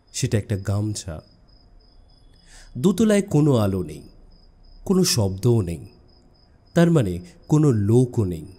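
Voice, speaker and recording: A man narrating a suspense story as an audiobook, expressive and dramatic, with deliberate pauses. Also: mid-range voice, breathy edge.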